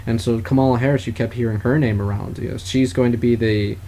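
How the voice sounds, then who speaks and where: A man co-hosting a casual political talk podcast, speaking in a halting way and often correcting himself. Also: medium pitch, steady tone, mellow. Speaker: podcast co-host. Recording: clear background noise.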